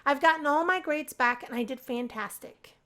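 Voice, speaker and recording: Female game narrator, higher register, expressive story reading for a visual novel playthrough. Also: normal speed. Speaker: gaming video creator. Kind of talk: let's play narration of a visual novel, read aloud.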